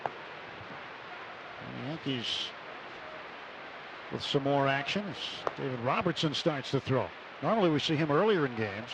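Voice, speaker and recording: A man doing live baseball play-by-play on radio in measured phrases with clipped count calls. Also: medium pitch, expressive, gravelly. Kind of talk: live radio sports commentary. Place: stadium broadcast booth. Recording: noisy live ballpark radio broadcast. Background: ballpark ambience.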